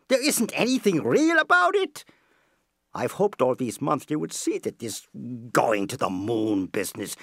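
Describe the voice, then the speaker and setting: An eccentric older professor in an audio comedy, exasperated yet apologetic. His delivery is theatrical and slow, leaping dramatically up and down in pitch, recorded very dry. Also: a bit bright, no echo, heavily acted. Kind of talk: audio play acting.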